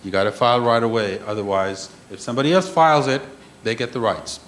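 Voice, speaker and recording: A man's voice: medium pitch, animated, fast, slight background noise. A man giving an emphatic conference lecture, repeating key points and checking in with the audience. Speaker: conference panel speaker. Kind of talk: lecture on intellectual property. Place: conference room.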